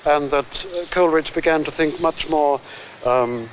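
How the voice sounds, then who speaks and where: A man giving unscripted expert commentary, halting as he searches for phrases. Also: a little high, highs cut off, slight background noise. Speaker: literary expert interviewee.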